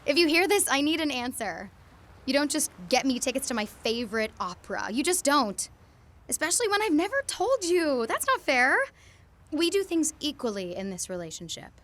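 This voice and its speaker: A woman's voice: sits high, sing-song, moderate pace, a bit bright. A young woman performing a radio drama monologue, hesitant and wistful, sometimes dropping to a whisper. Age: young adult.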